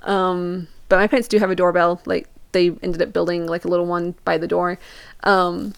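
Casual podcast storyteller: young woman, clean smooth tone, dry sound, informal and conversational. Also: lowish voice, little pitch movement, normal speed, no echo. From Portugal and England. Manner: informal, peppered with "like", acts out quoted questions.

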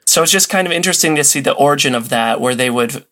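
Guy guesting on a podcast, chatty explainer, middle pitch, tells it like a story. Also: expressive, fast, sharp tone. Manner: conversational storytelling. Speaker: podcast guest, old-time radio enthusiast. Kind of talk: casual explanatory chat.

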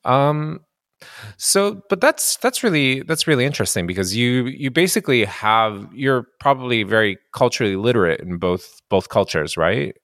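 A man's voice: medium pitch, animated, normal speed, fairly bright tone, no room sound, studio quality. A man hosting a casual interview podcast who talks in an easygoing way, laughs along with his guest and uses loose fillers. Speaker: podcast host, indie game producer.

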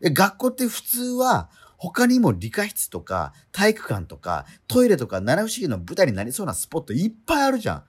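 A man's voice: sits high, huge pitch swings, husky, exaggerated voice. An actor playing an exasperated schoolboy straight man in a comedic radio drama, quibbling and retorting in disbelief. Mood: incredulous. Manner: incredulous, quibbling, rapid retorts.

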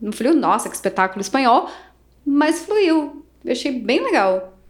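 A Brazilian woman, an English teacher, telling travel stories in a casual podcast chat, animated and acting out quoted voices. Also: sits high, moderate pace. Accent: Brazilian Portuguese. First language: Portuguese.

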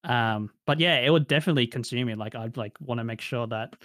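A man's voice: mid-range voice, fast, a bit bright, no room sound, studio quality. A young man with an Australian accent, answering interview questions in a casual, reflective way with many fillers.

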